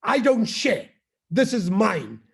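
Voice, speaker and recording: A gruff-voiced man puts on a shrill, bossy little bird from a storybook, shouting high and loud at anyone who comes near. The voice is raspy and gravelly underneath, and he drags the words out slowly. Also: sing-song, full character voice. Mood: angry. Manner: shrieking, shouting.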